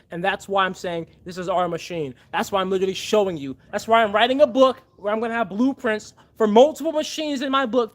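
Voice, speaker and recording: A man hosting a casual livestream, earnestly thanking donors by name and rallying viewers. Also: very high-pitched. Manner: fervent, rallying. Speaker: livestream host and machine builder.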